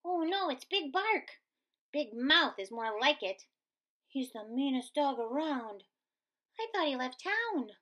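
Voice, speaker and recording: A woman voicing excitable, squabbling little prairie dogs from a storybook in short exclamations. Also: sits high, slow pace, dull audio, voice pushed up, full character voice. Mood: wary, gossipy.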